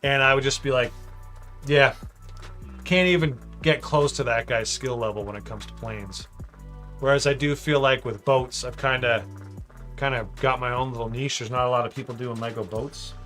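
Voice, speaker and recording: A man of ordinary pitch hosts a relaxed livestream, rambling and thinking aloud while he builds. He reads out chat, cracks jokes and drifts between topics. Soft music plays quietly behind him. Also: moderate pace. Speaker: livestream host building a model.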